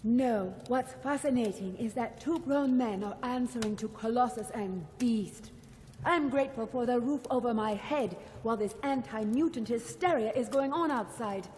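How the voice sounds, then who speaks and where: An actress playing a sardonic, reluctant young heroine with weather powers. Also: female voice, a little high, normal speed, a little mellow, slight background noise, close to an everyday voice. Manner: sarcastic, reluctant. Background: music score.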